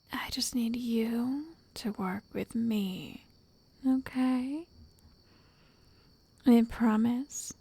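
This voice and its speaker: A woman plays a lovestruck, controlling witch in an ASMR roleplay. Her voice sits fairly high yet soft and mellow, with a creaky, whispery edge. She coaxes possessively, close and dry. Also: no echo, exaggerated voice. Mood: soothing, commanding. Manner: slow, coaxing, possessive endearments.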